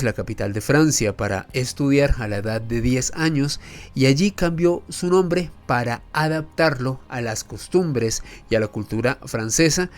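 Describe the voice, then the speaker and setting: Emphatic male storyteller narrating history. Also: mid-range voice. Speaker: host of a history and mystery channel. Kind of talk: semi-scripted documentary narration.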